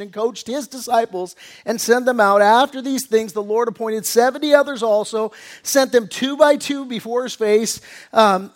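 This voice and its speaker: An American man preaching a sermon as pastor, reading scripture and growing animated and excited as he explains it. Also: extremely high pitch.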